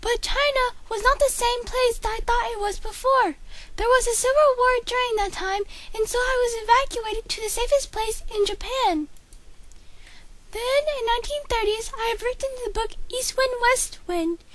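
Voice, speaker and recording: A woman's voice: very high-pitched, moderate pace, a little hiss or hum. A teenage girl voicing a woman author who recounts her life in a plainly read school report. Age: teens. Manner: plain, steady reading of a report. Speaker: student. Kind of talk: school project doll play.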